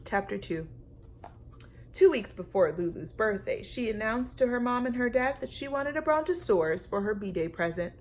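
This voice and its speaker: A woman reading a story aloud to children like a teacher, expressive and emphatic, with dramatic pauses and questions to her young listeners. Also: on the high side, slight background noise.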